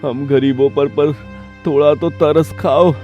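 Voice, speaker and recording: A man's voice: fairly high pitch, fairly even intonation, slow pace, muffled recording, soft background music. A man voicing a poor, humble, weary village family man in a cartoon story, earnest and resigned. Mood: lamenting.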